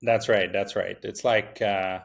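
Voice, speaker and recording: A founder from Morocco talking casually in an interview, full of fillers and restarts. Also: male voice, lowish voice, fairly even intonation, gravelly. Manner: halting, many fillers and restarts. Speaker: startup founder, guest.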